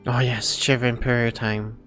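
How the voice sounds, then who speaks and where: A woman's voice: very deep voice, level tone, moderate pace, fairly bright tone, faint music behind. A woman giving casual, emotional, exclamatory reaction commentary as a game streamer.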